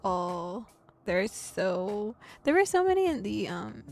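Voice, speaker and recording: A bubbly young woman chats casually to her stream, giggling and rambling between thoughts. Her voice sits at an ordinary middle pitch, close to a desk mic. Faint game music plays underneath. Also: sing-song, takes it slowly. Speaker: VTuber streamer.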